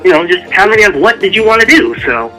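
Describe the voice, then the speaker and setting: A man's voice: high-pitched, very animated, quick pace, pushed and bright, faint music behind, low-quality recording. A man speaking as an author guest in a casual interview, rambling and conversational.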